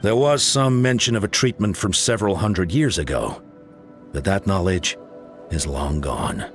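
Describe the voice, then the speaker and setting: Solemn old-school chief type in a game, deep low voice, formal and grave. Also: male voice, lively intonation, moderate pace, soft background music. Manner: formal, explanatory, somber. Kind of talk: video game voice acting.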